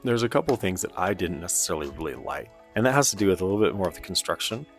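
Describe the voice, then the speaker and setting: A man's voice: low-pitched, sing-song, rapid-fire, soft background music. A man giving a casual, informal product review in a conversational way. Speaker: presenter of radio gear review.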